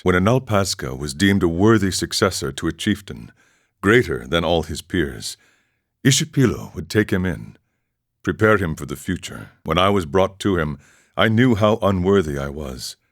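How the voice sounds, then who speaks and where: A man voicing a weathered, philosophical warrior monk and former chieftain in a video game, telling his tale in a measured, solemn, reflective way. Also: low-pitched, dry recording, studio quality. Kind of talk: scripted dramatic storytelling monologue.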